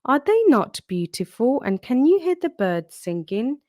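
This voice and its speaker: A woman's voice: fairly high pitch, big pitch swings, measured pace, mellow, no echo, studio quality, pitched above the performer's own voice. A woman voicing a cunning, wicked male wolf from a storybook, politely coaxing and sly. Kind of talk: storybook read-aloud character.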